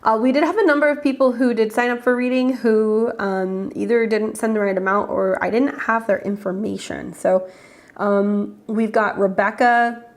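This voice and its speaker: A woman's voice: on the high side, fairly even intonation, normal speed. A woman with a head cold and a congested voice, chatting casually as an astrologer hosting live readings and greeting viewers by name. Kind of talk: casual livestream chat.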